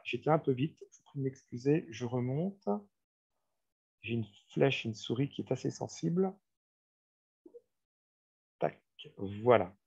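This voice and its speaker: A man's voice: on the high side, breathy edge. A man giving a formal, didactic training presentation on a video call, explaining slides and addressing his listeners directly. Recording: dull, lo-fi video-call audio.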